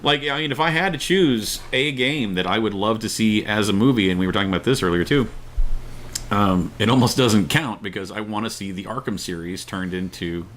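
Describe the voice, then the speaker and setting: A man's voice: lowish voice, a little fast, fairly bright tone. Man chatting casually over noticeable background noise. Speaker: co-host of a pop culture discussion show. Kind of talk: casual opinionated chat.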